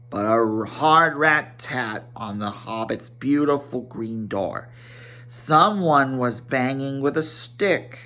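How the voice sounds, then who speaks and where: Male amateur storybook reader, unhurried, mid-pitched, theatrical but prone to small stumbles. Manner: expressive, stumbling over words with asides. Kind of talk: dramatic storybook narration with character voices.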